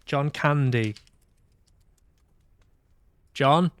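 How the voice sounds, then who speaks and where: Guy streaming games, thinking out loud, unhurried, smooth clear voice. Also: on the high side, no echo, studio quality. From Manchester, UK. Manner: hesitant, musing aloud to himself. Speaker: livestream host. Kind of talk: casual livestream chat while playing games.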